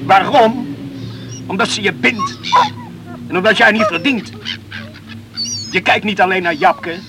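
An actor playing a torn, brooding young islander who talks to himself in agitated self-reproach in a radio play. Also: male voice, high-pitched, unhurried, background noise. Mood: self-reproachful.

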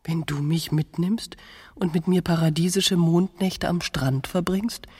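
An actress in a radio play as a stubborn, teasing woman in her twenties, slightly husky, contradicting in a dry, matter-of-fact way. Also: fairly low pitch, steady tone, a little slow, darkish tone. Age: 20s. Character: stubborn, teasing 28-year-old pregnant woman. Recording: long hall-like reverb.

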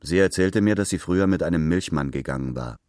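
A man acts out a boastful young womanizer in a radio play. His voice is low and his talk is slangy and cocky. The sound is dry and close. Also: fast, a little mellow, dry recording, natural voice. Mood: bragging, casual. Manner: colloquial, bragging.

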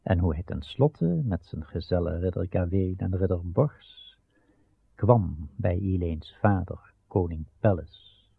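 A man narrating a radio drama as a solemn storyteller, measured and grave with a gravelly voice. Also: low-pitched, expressive. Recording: tinny, band-limited old radio recording.

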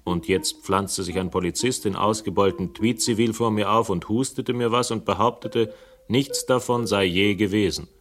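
An actor playing a sardonic, agitated Londoner caught up in a murder case, in a radio play with first-person narration. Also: male voice, lowish voice, normal speed, a bit bright, close to an everyday voice. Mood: haunted, agitated. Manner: biting, indignant, sarcastic.

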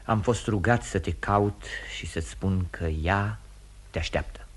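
Earnest loyal gentleman, low husky voice, formal restrained pleading, old radio play. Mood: quietly pleading. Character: earnest, proper gentleman, loyal family friend and envoy. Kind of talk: mid-20th-century radio theatre acting. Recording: old radio drama, music behind.

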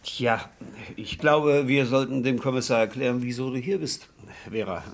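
An actor playing a formal, slightly flustered and fussy husband under police questioning in a radio crime drama. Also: male voice, mid-range voice, normal speed, slight background noise, close to an everyday voice. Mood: polite, guarded.

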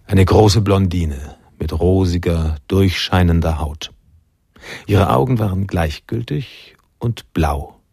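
Low raspy noir narrator, moody n measured, super dry close sound, radio play. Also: male voice, a little mellow, no echo, full character voice. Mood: sombre, ominous. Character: reflective male noir narrator, sombre storyteller. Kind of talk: literary scripted narration in radio drama.

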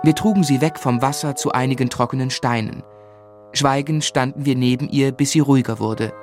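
Young gentleman narrator male actor, measured, earnest, medium pitch, music underneath. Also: steady tone, close to an everyday voice. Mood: reflective, grave. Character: earnest, compassionate young gentleman writer telling his life. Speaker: narrator and lead character. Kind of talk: literary first-person narration in German radio drama.

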